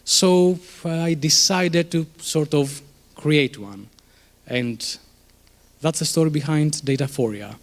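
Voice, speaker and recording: Greek guy in his 30s presenting at a conference, slightly high voice, chatty with lots of uhs. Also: slow pace. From Greece. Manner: conversational, many fillers and restarts. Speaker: conference speaker, startup co-founder. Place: conference room.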